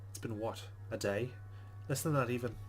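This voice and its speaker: A man's voice: medium pitch, normal speed, soft background music. A man hosting a let's play, reading game narration aloud with casual commentary.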